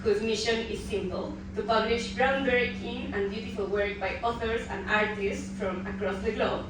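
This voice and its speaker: Nervous, halting woman panel moderator in her thirties; distant mic, big echoey room. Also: mid-range voice, fairly even intonation. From Peru. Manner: hesitant, many ums and restarts. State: a bit nervous. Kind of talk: live panel introduction. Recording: echoey room from far off with noise.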